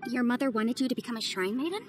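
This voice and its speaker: A woman voicing an earnest, gentle young female legal advisor in a video game. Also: fairly high pitch, animated, rapid-fire, music in the background, lightly acted.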